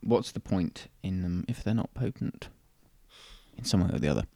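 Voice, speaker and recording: A man's voice: sits low, lively intonation, normal speed, creaky, dark tone, dry recording, studio quality. A British man co-hosting a casual show, bantering in a jokey, rambling, self-deprecating way. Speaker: co-host of a chat show about beer and snacks.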